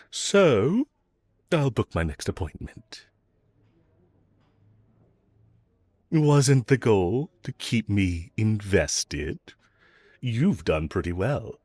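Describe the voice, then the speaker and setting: A man playing a flirtatious, teasing villain in a romantic audio roleplay, with a husky voice and a playful, drawn-out delivery. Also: fairly low pitch, a little mellow, no echo, exaggerated voice. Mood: playful, bantering. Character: flirtatious, teasing male villain, playfully provoking his hero rival. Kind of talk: romantic audio roleplay acting addressed to listener.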